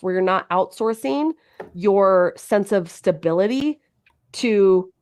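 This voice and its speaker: A woman's voice: medium pitch, expressive, takes it slowly, a little mellow, smooth. A woman with a head cold giving an upbeat, motivational coaching talk to her webinar audience.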